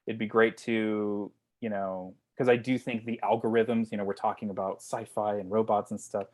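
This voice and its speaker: A man's voice: fairly low pitch, level tone, normal speed. Informal, joking man on a video call. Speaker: writer-director, panel guest. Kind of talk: casual chat.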